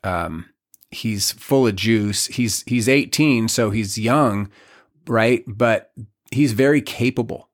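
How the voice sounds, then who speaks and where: A man in his forties, a guest on a podcast, talking in a reflective, halting way with many restarts. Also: fairly low pitch. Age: 40s. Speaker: podcast guest, community leader. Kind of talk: casual podcast conversation.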